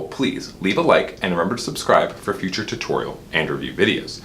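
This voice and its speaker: A man's voice: on the low side, a bit bright, a little hiss or hum. A man presenting a product review as a specialist, explaining things to viewers in an informal, practical way. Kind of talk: product review presentation, part scripted, part demonstration.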